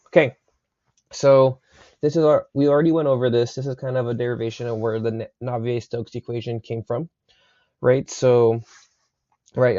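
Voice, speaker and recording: A young man recording a university engineering lecture late at night, his voice raspy, talking casually with many fillers and checks like "right?". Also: medium pitch, dark tone, dry recording. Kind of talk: recorded lecture over slides. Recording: slightly dull dry recording.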